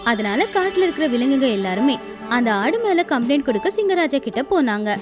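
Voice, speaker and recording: High-pitched woman narrating an animated fable. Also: brisk. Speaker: storyteller, narrator. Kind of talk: scripted children's fable narration. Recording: music behind the voice.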